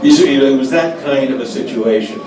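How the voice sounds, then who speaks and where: A man's voice: mid-range voice, brisk, crowd noise. An older American man, a former diplomat, telling anecdotes in an interview, hesitant with frequent fillers. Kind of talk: storytelling interview answers. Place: café event room. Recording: echoey room, distant mic, background noise.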